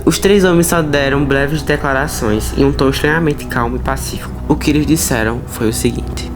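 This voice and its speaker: A man's voice: medium pitch, brisk. A man with a Brazilian accent telling horror stories as a host, mixing narration with informal asides and dramatic emphasis. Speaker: host of a horror storytelling channel. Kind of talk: storytelling narration with casual asides. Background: music under the voice.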